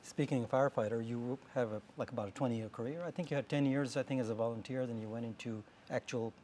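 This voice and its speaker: A man's voice: medium pitch, fast talker, mellow, slight background noise. A man from New Mexico hosting an interview, asking leading questions and often tagging them with "right?". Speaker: interviewer host. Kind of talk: casual interview.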